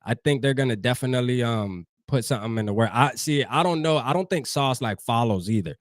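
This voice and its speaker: A man's voice: medium pitch, a little fast, dry recording, studio quality. A man from New Jersey hosting a fan show, talking loosely and profanely straight to his live chat. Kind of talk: casual livestream sports talk.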